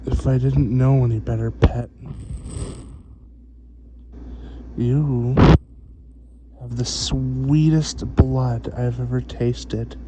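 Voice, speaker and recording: A man playing a possessive, hungry vampire in soft, breathy ASMR roleplay, doting on his captive human with lingering, savoring pauses. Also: background noise, lightly acted. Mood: sated, tender. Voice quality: soft, breathy low-volume voice.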